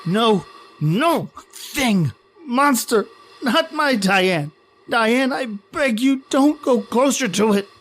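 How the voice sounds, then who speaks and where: A woman acting a frightened, protective character in old-time radio horror style, halting and stammering while pleading in horror. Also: on the high side, animated, soft background music. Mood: desperate, pleading. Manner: halting, stammering, repeats words.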